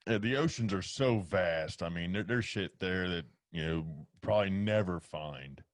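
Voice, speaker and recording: A man's voice: deep voice, moderate pace, dry recording, studio quality. A man in his late forties, a podcast guest, adding short remarks in casual chat. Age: late 40s. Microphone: studio mic.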